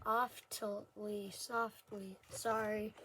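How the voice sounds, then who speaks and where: A woman's voice: medium pitch, level tone, very slow, bright, forceful tone, a little hiss or hum. A woman reading a children's picture book aloud word by word, stumbling and correcting herself as she goes. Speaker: story reader.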